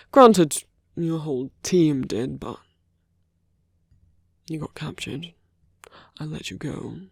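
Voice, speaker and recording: A suave male villain, played by a voice performer in a romantic ASMR audio drama. The voice has a gravelly fry and sits very dry and close. Phrases come deliberately, hushed and broken by pauses, in a protective and persuasive manner. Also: mid-range voice, dry recording. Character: suave male villain urging a captured hero to flee.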